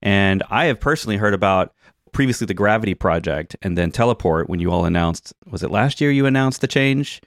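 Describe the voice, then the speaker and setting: A man's voice: lowish voice, lively intonation, fairly quick, no echo, studio quality. A man hosting a tech podcast, explaining things in a casual, conversational way. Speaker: podcast host.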